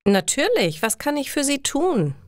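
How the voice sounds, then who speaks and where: An eager young pizza courier from a storybook, played by a woman, speaking in lively, swooping phrases. The delivery is emphatic and quick to bristle, lifted in pitch, in a very dry recording. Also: no echo. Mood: polite, eager. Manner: animated, emphatic questions and retorts. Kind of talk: learner storybook dialogue.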